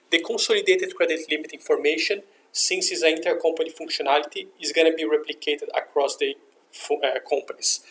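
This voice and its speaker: A man narrating a software tutorial as an instructor, explaining methodically step by step. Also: fairly high pitch, gravelly. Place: voice-over for a screen recording.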